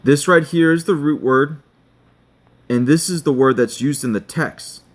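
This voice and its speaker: A man giving a screen-share tutorial, talking slowly and checking in with his viewers. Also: a little high. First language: not Hebrew. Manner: slow, checking in with "okay?". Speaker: online teacher.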